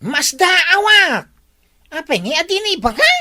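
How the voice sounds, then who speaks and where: A woman with an Ilocano accent playing a nosy, excitable, complaining villager in a radio comedy drama. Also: very high-pitched, a little slow, no room sound, studio quality, exaggerated voice. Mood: nosy, indignant.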